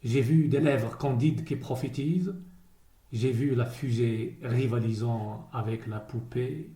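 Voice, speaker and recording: A man recites verse very slowly in a solemn, measured way. His voice is mellow and soft-edged, with a grave delivery broken by pauses. The recording is roomy, with noticeable echo around the words. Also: medium pitch. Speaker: poet reading a poem.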